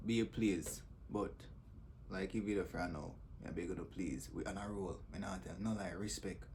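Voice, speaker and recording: A low-voiced Jamaican man hosts casually, chatting to his viewers in teasing patois. The recording carries some faint background noise. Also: normal speed, a little mellow. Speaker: host of a comedy compilation channel.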